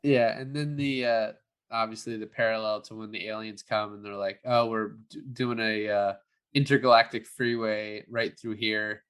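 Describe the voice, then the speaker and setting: A man's voice: lowish voice, fairly even intonation, normal speed, fairly bright tone, clear, resonant tone. A man co-hosting a podcast in a casual film review chat, hedging as he works through his thoughts. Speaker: podcast co-host.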